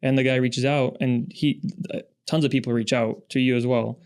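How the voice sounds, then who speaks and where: Smooth, steady-voiced man in his thirties. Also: medium pitch. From born in Russia, raised in the US Pacific Northwest. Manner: measured, steady storyteller. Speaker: podcast guest, industry founder. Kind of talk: casual interview chat.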